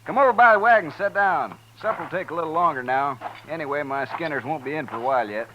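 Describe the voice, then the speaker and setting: An American actor playing a talkative, hospitable buffalo hunter in a 1950s radio western, with folksy, drawling rustic speech. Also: male voice, a little high, quick pace, background noise, lightly acted. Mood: gruffly welcoming. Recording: dull, band-limited 1950s radio recording.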